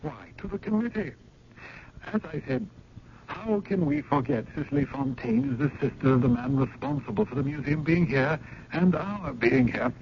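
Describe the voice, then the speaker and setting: Courtly museum elder, higher-set voice, formal and roundabout, kindly with a sly streak. Dull-sounding 1970s radio drama. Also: male voice, animated, normal speed. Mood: measured, kindly. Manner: formal, leisurely, roundabout speech. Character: genteel, courtly museum committee elder, slyly kind. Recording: muffled, noisy old radio broadcast.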